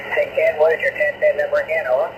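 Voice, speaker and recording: A man's voice: a little high, flat delivery, fast. A man speaking as an amateur radio operator in clipped exchanges, heard through a shortwave radio. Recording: very noisy, hissy shortwave radio reception.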